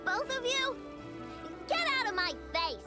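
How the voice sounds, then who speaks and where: A hot-tempered girl inventor with a very high, lively voice that leaps around as she fires off indignant outbursts. Game music plays clearly behind her. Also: a little slow, full character voice. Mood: angry.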